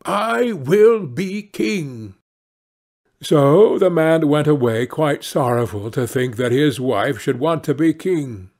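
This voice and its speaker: A man narrating a fairy-tale audiobook in a measured, expressive storytelling manner. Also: medium pitch.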